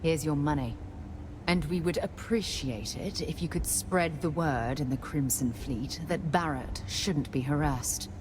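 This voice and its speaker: A caring yet no-nonsense woman explorer in a space video game. Her voice is notably deep and measured, with clear noise in the background. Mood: firm, dry.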